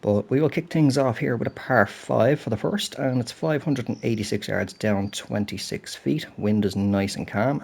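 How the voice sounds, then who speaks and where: A man's voice: on the low side, gravelly, breathy edge. Chatty British man, slightly stuffed up. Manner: chatty, apologetic, thinking aloud while playing. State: slightly throaty and stuffed up from a cold. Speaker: gaming channel host. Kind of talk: relaxed golf game commentary.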